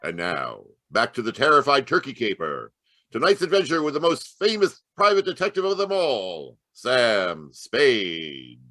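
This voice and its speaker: A man plays a theatrical announcer from the golden age of radio. His medium-pitched voice swings dramatically up and down in a promotional sell, recorded very dry. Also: huge pitch swings, a little slow, bright, forceful tone, dry recording, soft background music, heavily acted. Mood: grand, promotional. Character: showy male old-time radio network announcer. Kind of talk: 1940s-style radio announcing. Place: close dry sound, remote live performance.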